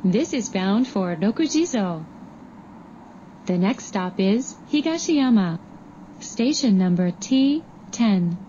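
A woman reads train announcements very slowly at a medium pitch. Her tone is soft and dark, her phrasing formal and measured. The recording is a little muffled. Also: animated. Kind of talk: scripted English transit announcement. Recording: dull recording with train noise. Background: subway train ambience.

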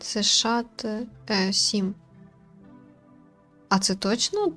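Female gaming commentator, mid-range voice, casual and questioning, thinking out loud over faint music. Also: mid-range voice, expressive, takes it slowly. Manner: musing aloud, questioning. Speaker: gaming video host and commentator. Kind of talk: casual off-the-cuff game commentary.

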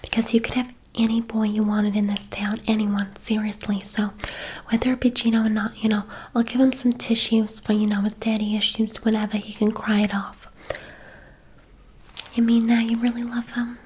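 A woman's voice: on the high side, flat delivery, normal speed, a little mellow, slight background noise, lightly acted. A woman playing a gushing, affectionate, gossipy hairdresser in an ASMR roleplay, chatty and full of endearments. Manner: effusive, chatty, uses endearments.

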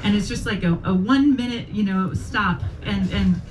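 A middle-aged woman from Illinois tells a personal story on a small club stage, chatty and halting, with restarts. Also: medium pitch, a little mellow. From Springfield, Illinois. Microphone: handheld mic, far camera. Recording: distant camera sound with room noise.

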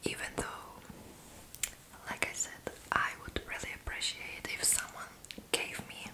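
Female, twenties, ASMR roleplay: fully whispered, breathy, gentle, close-miked. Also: a little slow, rough recording. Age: 20s. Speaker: ASMR video creator playing a roommate. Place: small indoor room. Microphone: close microphone.

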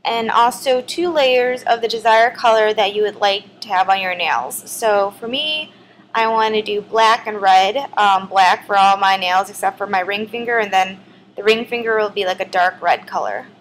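A woman's voice: mid-range voice, moderate pace, a little hiss or hum, rough recording. A woman in her twenties with a sore throat, chatting casually through a nail tutorial filmed in her bedroom. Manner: chatty, relaxed, filler-heavy. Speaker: beauty vlogger.

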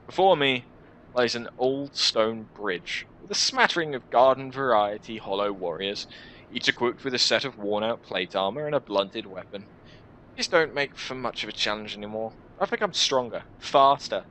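A man in his twenties reading a first-person story aloud in an expressive, deliberate, dramatic style. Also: mid-range voice, a little hiss or hum, rough recording. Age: 20s. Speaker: video creator reading a visual novel. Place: small room at home, indoors.

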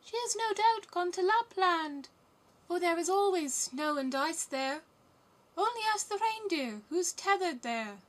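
A woman's voice: very high voice, lively intonation, measured pace, a bit bright, voice pushed up, heavily acted. A woman voicing small plaintive wood pigeons in a storybook, with a cooing delivery. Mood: helpful. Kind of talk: storybook character voice.

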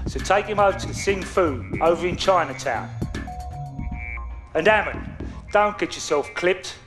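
A man's voice: sits high, expressive, moderate pace. A man playing a volatile, sadistic London gangster in a video game, taunting and bullying in a London accent. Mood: menacing orders. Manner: taunting, bullying, foul-mouthed. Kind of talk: video game cutscene acting. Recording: music behind the voice.

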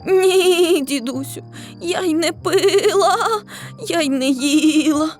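A woman's voice: very high-pitched, takes it slowly, faint music behind, pitched above the performer's own voice. A woman voicing a sly, plaintive female goat in a children's folk tale, with a singsong rhymed delivery. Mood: plaintive, whining. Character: sly whining female goat, feigning hunger, boastful and menacing.

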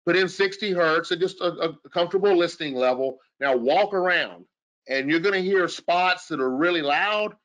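Man, slightly high voice, audio expert, informal Q&A explanation, halting and repetitive phrasing. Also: fairly bright tone, muffled recording. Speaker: audio engineering expert answering questions. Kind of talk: informal technical explanation in a live Q&A.

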